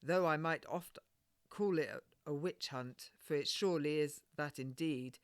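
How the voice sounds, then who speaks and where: A woman's voice: low voice, normal speed, no echo, close to an everyday voice. A proud, pious midwife, as a woman reader plays her, speaks firmly and admonishes in old-fashioned phrases. It is a storybook voice, recorded dry and close. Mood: earnest, indignant. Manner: firm, admonishing, archaic phrasing.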